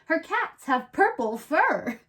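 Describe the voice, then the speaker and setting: A woman's voice: very high-pitched, sing-song, slow pace, fairly bright tone. A woman hosting a children's show, reading a picture book aloud expressively and explaining things simply. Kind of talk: expressive read-aloud storytelling with playful chat.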